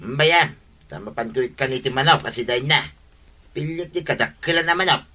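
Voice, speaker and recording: A man's voice: mid-range voice, moderate pace, sharp tone, natural voice. A man playing a helpful, boisterous village neighbor in a comedy radio drama. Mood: brisk. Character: helpful, boisterous male neighbor and buddy of the captain.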